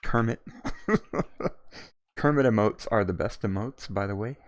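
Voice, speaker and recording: A man with a somewhat low voice hosting a casual interview. He rambles through his points, swears freely and breaks into laughter often. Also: slow. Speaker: interview host.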